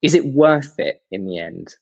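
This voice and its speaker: A man's voice: medium pitch, lively intonation, moderate pace, creaky, a little mellow, lo-fi sound. Man, mid-range voice, interviewer whose rambling questions are full of hesitations.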